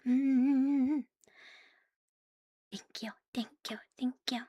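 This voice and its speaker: A woman livestreaming ASMR in a playful, cutesy catgirl manner, soft and breathy, often whispering, close to the microphone. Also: high voice, steady tone, very slow, no echo. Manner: playful, cutesy catgirl persona, with giggles and purring. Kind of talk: ASMR, intimate chat to live listeners.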